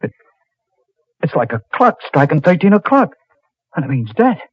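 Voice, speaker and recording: An actor playing a brooding, haunted diver in old-time radio drama style, with halting, melodramatic delivery. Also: male voice, fairly high pitch, big pitch swings, breathy edge, no echo. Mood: panicked. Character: haunted, tough deep-sea diver, brooding and fearful. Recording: muffled, noisy old radio recording.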